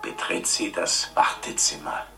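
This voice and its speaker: An actor playing a genial, chatty quiz show host with a southern German accent and folksy, rambling patter in a radio satire. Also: male voice, unhurried, pushed and bright, far from the mic, faint music behind. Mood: chummy, breezy. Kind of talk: satirical radio play acting.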